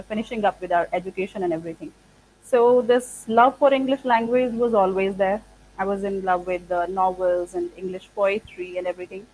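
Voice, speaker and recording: A woman's voice: a little high, darkish tone, slight background noise, rough recording. Chatty woman, native Punjabi speaker. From India. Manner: thoughtful, many fillers and restarts. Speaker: guest, spoken English trainer. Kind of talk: interview conversation.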